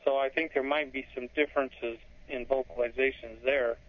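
A man's voice: mid-range voice, steady tone, normal speed. A male guest on a talk show who speaks in a tentative, hedging way. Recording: phone-like line.

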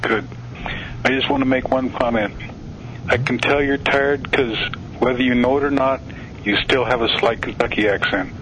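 Man calling in from wyoming, mid-pitched voice, plain friendly tone, faint hiss. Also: moderate pace, fairly bright tone, poor audio. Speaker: caller. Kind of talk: call-in radio talk.

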